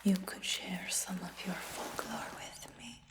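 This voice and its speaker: Slow soft whispering lady, asmr roleplay, echoey roomy sound. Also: medium pitch, fairly even intonation. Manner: soft, gentle whisper addressing the listener. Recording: roomy whisper with long reverb.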